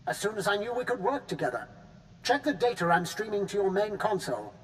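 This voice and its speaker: An actor playing a disdainful, pragmatic Imperial official with a haughty, clipped manner in scripted game dialogue. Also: male voice, high voice, sing-song, a little fast. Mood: businesslike. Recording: distant, noisy game capture.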